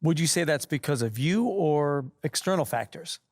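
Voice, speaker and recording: A man's voice: on the high side, animated, moderate pace, no room sound, studio quality. A man playing a mild, anxious sports reporter with an American accent, talking in a video game cutscene. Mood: professional, interested. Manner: nervous, mild, accommodating. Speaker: sports interviewer.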